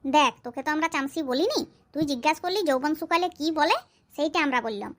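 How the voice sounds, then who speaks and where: Real high fast-talking cartoon kid, snarky and teasing. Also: a bit bright. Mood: mocking. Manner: mocking, colloquial. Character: sharp-tongued, teasing schoolchild. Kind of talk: comedy cartoon dialogue.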